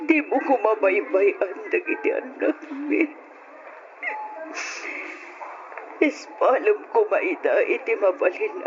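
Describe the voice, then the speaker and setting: A woman playing an older mother, anxious and pleading, in an old radio drama with a muffled, hissy sound. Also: a little high. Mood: praying. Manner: pleading, tearful. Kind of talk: 1980s-style radio drama acting.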